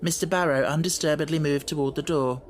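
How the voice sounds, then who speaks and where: A man's voice: on the high side, steady tone, fast talker. Male audiobook narrator, faint noise. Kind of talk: scripted literary narration.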